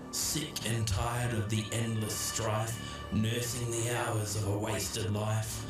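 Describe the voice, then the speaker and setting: An Australian man co-hosting a podcast, reading and reciting scripted material. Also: fairly low pitch. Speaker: podcast co-host. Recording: roomy echo, distant microphone, music behind.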